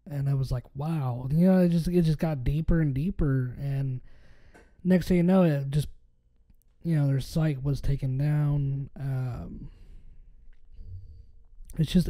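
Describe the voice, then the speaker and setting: A man hosting his own podcast, hoarse and a little raspy after being sick, rambling casually with lots of fillers. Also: on the high side, dark tone. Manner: rambling with many fillers and restarts. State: hoarse from a recent illness. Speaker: podcast host. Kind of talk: casual solo podcast talk.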